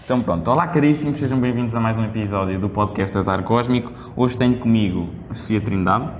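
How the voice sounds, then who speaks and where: A podcast host running a casual interview in a quick, playful way. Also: medium pitch. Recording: long reverb, faint noise.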